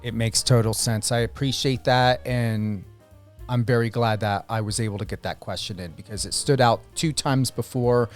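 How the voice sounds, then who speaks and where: A man with a clean, resonant voice of average pitch hosts a radio interview. He speaks off the cuff, rephrasing and searching for wording as he goes. Faint music accompanies his voice. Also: steady tone, normal speed. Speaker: radio show host. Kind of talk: unscripted radio interview and sign-off.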